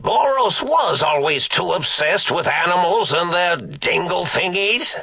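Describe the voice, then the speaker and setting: An eccentric old mad scientist, a brain in a robot tank from a video game. The voice is raspy and fairly high for a man, and it takes its time. He dithers pompously, then swells into theatrical outbursts. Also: animated, a little slow, bright, forceful tone, no room sound, heavily acted. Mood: muddled, pompous.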